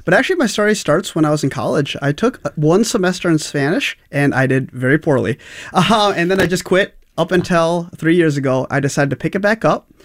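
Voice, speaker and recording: Young adult man guest, higher-pitched, chatty learner story. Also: expressive, brisk. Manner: upbeat, with many fillers. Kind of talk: casual personal story.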